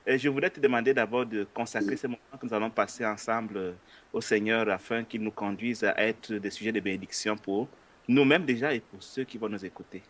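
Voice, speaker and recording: A man's voice: lowish voice, fairly even intonation, brisk, a bit bright. A man hosting an interview, asking questions in a polite, hesitant way with frequent filler sounds. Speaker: interview host. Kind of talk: conversational religious interview.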